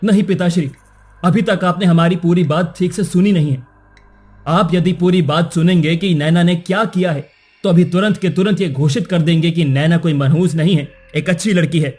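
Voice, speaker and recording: A man playing a righteous young prince in a cartoon moral story, earnest and formal, with a husky voice. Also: a little high, normal speed, a little mellow, close to an everyday voice. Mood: firm, insistent. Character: righteous young prince defending a falsely accused girl.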